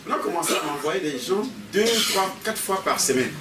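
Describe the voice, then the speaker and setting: A man's voice: fairly high pitch, expressive, fairly quick, over a noisy crowd. A man with a Cameroonian French accent preaching with personal stories, repeating his phrases and prompting the congregation. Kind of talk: animated sermon with personal anecdotes. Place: church meeting hall with a congregation. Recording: echoey hall, distant mic, congregation noise.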